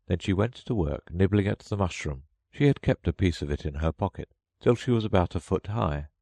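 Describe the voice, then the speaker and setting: A man narrating a children's audiobook in a gentle, expressive storybook read. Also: deep voice, brisk, vocal fry, dry recording.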